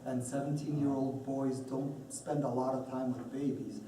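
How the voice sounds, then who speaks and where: A man from Colombia telling about his family in a group discussion, in a steady way. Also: medium pitch, normal speed. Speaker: panel speaker, parent. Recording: echoey room, distant mic, audible noise.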